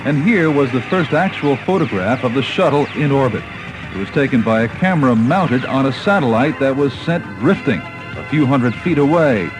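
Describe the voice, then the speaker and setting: A man's voice: medium pitch, lively intonation, moderate pace, rough recording. American man narrating a documentary over music. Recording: 1980s cassette tape, music behind. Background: background music.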